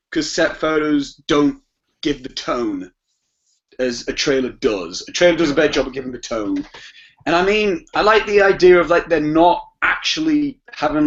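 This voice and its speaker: A man chatting casually about films as a podcast guest, rambling and often rephrasing himself. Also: fairly high pitch. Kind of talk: casual film discussion. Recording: dull lo-fi audio.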